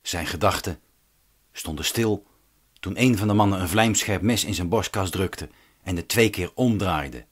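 A man narrating a radio drama in a solemn, measured, didactic way, as a stern storyteller. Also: low-pitched, natural voice. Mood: grave narration. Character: stern, measured male prison chaplain telling a parable. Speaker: narrator, actor and director of a radio play.

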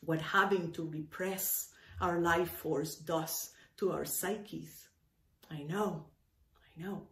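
A woman's voice: fairly low pitch, steady tone, fairly bright tone. Emphatic Cuban-born woman preaching, roomy sound. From Cuba. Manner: emphatic, deliberate phrasing with repetition for weight. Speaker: minister. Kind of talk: sermon. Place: indoor room with bookshelves, speaking to camera. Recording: roomy, echoey indoor sound.

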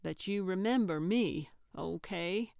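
A woman's voice: lowish voice, slow pace, vocal fry, no echo, clean studio sound. A woman voicing a feisty, sentimental older woman with a blunt, plainspoken manner in an audiobook. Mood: tender. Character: feisty, sentimental older woman who loves her cat. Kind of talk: audiobook character voice.

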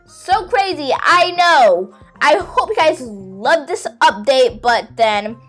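A woman's voice: high-pitched, lively intonation, takes it slowly, a bit bright. A young girl hosting a casual, playful game tour, chatting to viewers in an exclamatory way over background music. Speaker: gaming video host.

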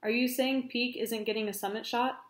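A woman voicing a quiet, subdued male climber from a novel. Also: fairly high pitch, steady tone, moderate pace, pitched above the performer's own voice, natural voice. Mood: stunned. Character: stunned male climber from Texas. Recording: roomy echo.

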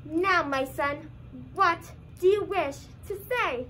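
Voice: extremely high pitch, takes it slowly, pushed and bright, slight background noise, full character voice, poor audio. A young teen performer playing an earnest, puzzled puppet in a comedy skit. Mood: solemn. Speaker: student puppeteer in a school drama show.

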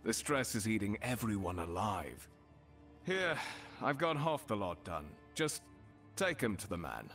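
An actor playing a stressed, overworked blacksmith in a fantasy game, blunt and exasperated. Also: male voice, fairly low pitch, big pitch swings, a little slow, a bit bright, soft background music, exaggerated voice. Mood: weary. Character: gruff, stressed, overworked male village blacksmith.

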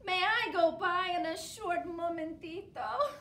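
A woman's voice: very high-pitched, measured pace, sharp tone, voice pushed up, exaggerated voice. A woman voicing a tiny, clever young male goat in a storybook, pleading politely in a raised voice. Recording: roomy echo.

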